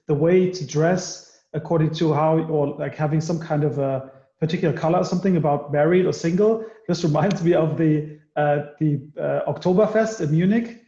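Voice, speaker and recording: A man hosting a podcast, chatting in an animated way and often repeating words. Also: on the high side. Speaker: podcast host. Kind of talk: conversational interview. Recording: echoey, muffled room sound.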